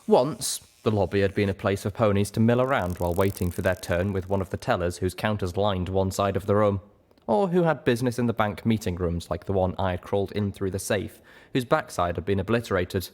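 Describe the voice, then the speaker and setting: A man with a fairly low voice reads fiction aloud in a first-person narrative style. He works as the storyteller, carrying scenes and description. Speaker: audiobook reader.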